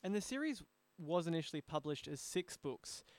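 A man's voice: fairly high pitch, sing-song, normal speed. A man speaking as a host, conducting a conversational interview. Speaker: talk show host, interviewer.

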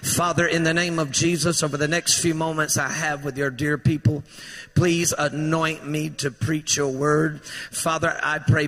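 A man preaching a fervent sermon in a church, his voice strained from shouting and rousing the congregation to respond. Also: fairly high pitch. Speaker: pastor. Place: church sanctuary. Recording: echoey church hall reverb.

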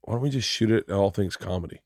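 A man's voice: lowish voice, fast, darkish tone, dry recording, clean studio sound. A man from Florida hosting a podcast, easygoing and jokey in casual chat. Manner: laid-back, jokey, agreeable. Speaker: podcast host.

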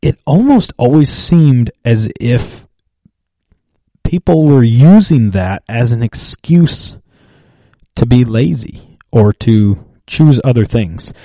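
A man's voice: medium pitch, animated, mellow, no echo. A man co-hosting a casual faith and finance chat, thinking aloud with many fillers and restarts. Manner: thoughtful, halting, with restarts and fillers. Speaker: podcast co-host.

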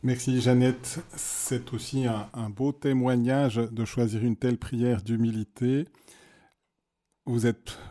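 A man interviewing a guest on a religious radio programme, asking measured questions that gently guide her. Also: on the low side.

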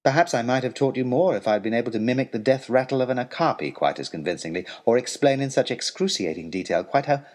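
Man playing indignant, lovesick tutor with swooping pitch. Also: lively intonation, fast, hardly any pauses, darkish tone, natural voice. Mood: exasperated. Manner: pompous, wordy, aggrieved, theatrical. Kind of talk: scripted comic narration as a letter read aloud.

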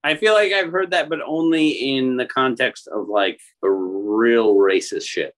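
A man's voice: mid-range voice, unhurried, a bit bright. A man chatting casually as a podcast panelist in an offhand, slangy way. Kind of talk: casual chat.

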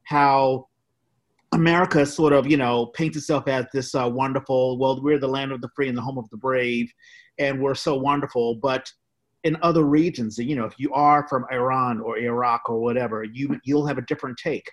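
A man's voice: mid-range voice, steady tone, moderate pace. A man co-hosting a casual interview, talking discursively and rephrasing as he goes. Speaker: podcast co-host.

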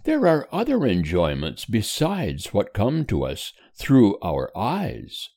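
A man reading the part of a gentle, cheerful mother consoling her son, tender and soothing in an audiobook. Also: fairly low pitch, huge pitch swings, slow, dry recording, clean studio sound, voice pushed up, exaggerated voice.

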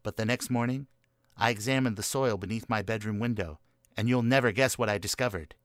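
A man's voice: lowish voice, brisk, dry recording, clean studio sound, lightly acted. A man playing a morose, melodramatic boy in a comic gothic radio play, speaking in florid, solemn storytelling fashion. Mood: dramatic, fearful. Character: morose, melodramatic boy telling a doom-laden family curse tale.

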